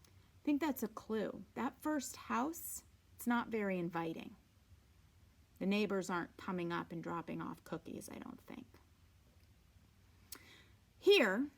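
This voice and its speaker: A woman reading a storybook aloud with deliberate, dramatic phrasing and informal asides, sometimes dropping to a hush. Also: mid-range voice. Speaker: read-aloud reader.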